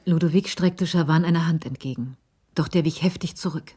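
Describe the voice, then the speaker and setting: A woman's voice: low-pitched, moderate pace, mellow, breathy edge. A woman narrating a German radio drama in a scripted storytelling style. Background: music.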